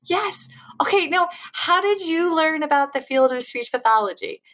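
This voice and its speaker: A woman hosting an interview podcast in a casual, enthusiastic way, laughing often and swinging her pitch widely. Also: moderate pace. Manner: lively, with big pitch jumps. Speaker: podcast host.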